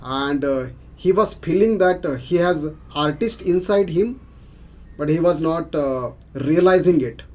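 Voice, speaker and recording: A man with an Indian accent speaking haltingly as a participant on a video call. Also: a little high, expressive, darkish tone. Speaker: club session participant.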